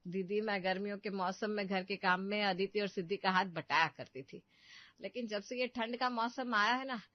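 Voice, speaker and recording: Woman acting older mother-in-law, cartoon, meek, apologetic, defensive, middle-pitched, dry dulled recording. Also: normal speed, no room sound, dull audio, close to an everyday voice. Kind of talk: Hindi moral-story cartoon dialogue.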